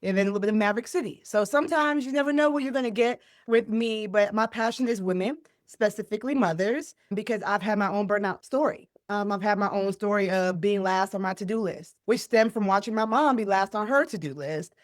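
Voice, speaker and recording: A woman's voice: medium pitch, brisk, few pauses, dry recording, clean studio sound. A woman from Chicago, around her thirties or forties, talking casually as an interview guest, playful, emphatic and slangy. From Chicago, United States. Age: middle-aged millennial, 30s to 40s. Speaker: podcast guest, wellness coach and motivational speaker.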